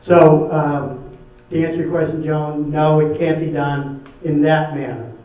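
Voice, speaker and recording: A man's voice: fairly high pitch, little pitch movement, measured pace. A middle-aged or older man, a town attorney, explaining matter-of-factly at a public meeting in a large indoor room. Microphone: room pickup, later handheld microphone. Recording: echoey meeting hall, distant dull sound.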